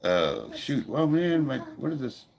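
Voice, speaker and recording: A man's voice: medium pitch, very animated, measured pace, gravelly, crowd noise. A man in his fifties with a stuffy nose, chatting informally as he hosts a training livestream. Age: 50s. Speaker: powerlifter and livestream host. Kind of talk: casual livestream chat while training. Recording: noisy room, ambient background sound.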